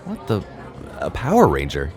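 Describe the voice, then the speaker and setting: A man's voice: deep voice, huge pitch swings, normal speed, soft background music, some chatter behind. A man playing a bewildered, protective middle-aged father in an audio drama, stammering when flustered. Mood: alarmed, urgent. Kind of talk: naturalistic audio drama acting.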